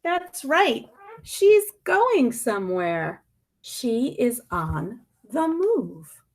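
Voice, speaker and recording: Female storybook reader, very lively intonation, noticeable vocal fry, dramatic stresses and pauses. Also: on the high side. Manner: deliberate, dramatic emphasis with pointed questions and pauses. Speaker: media specialist reading a picture book aloud. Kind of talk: expressive picture-book read-aloud.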